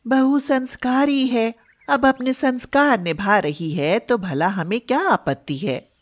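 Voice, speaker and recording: Cartoon mother-in-law, played by a woman narrator: middle-aged, suspicious, indignant, scolds and exclaims at an unhurried pace. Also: fairly high pitch. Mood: reassuring, firm.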